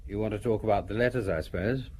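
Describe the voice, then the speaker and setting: Guarded, prickly man, lowish voice. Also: fast talker, dark tone, breathy edge. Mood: polite, brisk. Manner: polite but defensive, formal. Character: guarded, prickly male legal researcher and would-be playwright. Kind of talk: audio drama acting.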